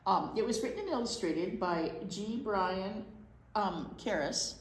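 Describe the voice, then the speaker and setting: A woman's voice: mid-range voice, a little slow. A woman teacher reading a picture book aloud to children, with casual chatty asides. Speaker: library storytime teacher. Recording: echoey room with faint noise.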